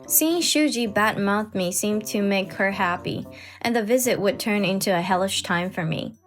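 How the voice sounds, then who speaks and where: A woman's voice: mid-range voice, normal speed. A woman reading scripted first-person story narration as a put-upon wife, with music underneath. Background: background music.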